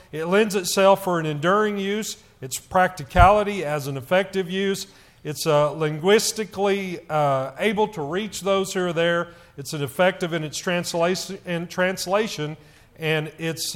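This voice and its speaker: A middle-aged man, a church elder, speaking loudly to the congregation and stumbling with frequent fillers. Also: sits high. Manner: loud voice, halting with many fillers.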